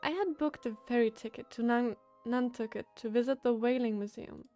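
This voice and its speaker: A woman reading a composed young woman warrior in a game, with a gentle, polite manner. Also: a little high, moderate pace, faint music behind, close to an everyday voice.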